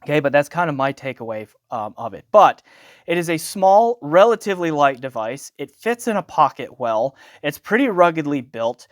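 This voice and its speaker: A man's voice: on the high side, sing-song, moderate pace, no room sound, studio quality. A man giving a casual, unscripted product review in a conversational way, often checking in with short tag words after his points. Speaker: audio gear reviewer.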